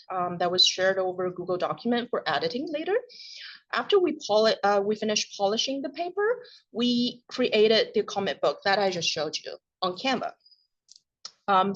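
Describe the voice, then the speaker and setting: A woman giving an academic conference presentation in a measured way, with occasional filler sounds. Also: mid-range voice. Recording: dull lo-fi recording.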